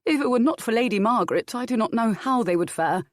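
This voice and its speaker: A woman's voice: on the high side, expressive, quick pace, dry recording, studio quality, pitched above the performer's own voice, natural voice. A woman voicing a skeptical, pompous, portly young-faced prior who questions in formal old-fashioned speech in a historical audiobook.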